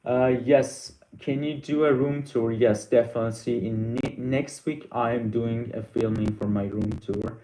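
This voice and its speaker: A young man whose first language is Persian, hosting a casual live Q&A, reading out viewer questions and answering haltingly with many fillers. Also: medium pitch, steady tone, dark tone, roomy sound, slight background noise, poor audio.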